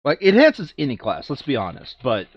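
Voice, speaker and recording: A man's voice: medium pitch, wildly swooping pitch, fairly quick, lo-fi sound. A man chatting casually while playing a game, thinking aloud and muttering hesitantly to himself. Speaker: player setting up a co-op board game campaign.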